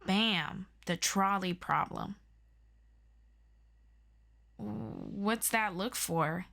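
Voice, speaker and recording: A woman playing an earnest, excitable superhero best friend in an ASMR audio roleplay, chatty and expressive as she tutors a listener. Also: medium pitch, drawn-out words, vocal fry, heavily acted. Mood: encouraging, playful. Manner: chatty and expressive, with interjections and sighs. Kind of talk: ASMR audio roleplay, scripted one-sided conversation.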